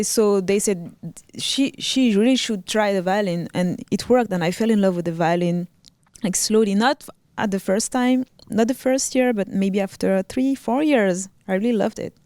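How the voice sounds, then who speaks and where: A young woman with a French accent, a violinist chatting as a guest in a casual interview, soft-spoken with frequent ums and restarts. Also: medium pitch, no echo, clean studio sound. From Reunion Island, raised in Paris.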